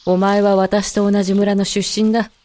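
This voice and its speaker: A woman's voice: medium pitch, level tone, normal speed, dark tone, slight background noise, close to an everyday voice. A woman playing a proud, blunt pregnant werewolf in a voice drama, with brusque, self-assured, masculine wording. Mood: matter-of-fact, stern. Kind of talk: Japanese amateur voice drama acting.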